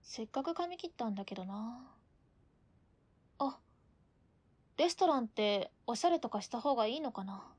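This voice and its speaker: A woman's voice: fairly high pitch, expressive, moderate pace, pauses often, darkish tone, slight background noise, lightly acted. A woman playing an earnest, girlish young woman in a radio drama, speaking casually. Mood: hopeful, shy.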